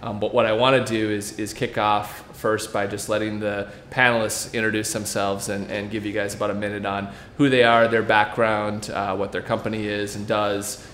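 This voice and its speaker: A man moderating a panel before an audience, relaxed, with many fillers and restarts. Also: lowish voice, normal speed, hardly any pauses. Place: event room before an audience. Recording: echoey room with faint noise.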